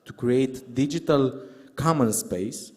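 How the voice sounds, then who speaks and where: A man's voice: medium pitch, slow, a little mellow. Romanian accent guy giving panel remarks, choppy careful english, echoey big hall. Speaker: government official speaking on a panel. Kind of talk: live conference remarks. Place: large indoor conference hall. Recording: echoey hall sound system.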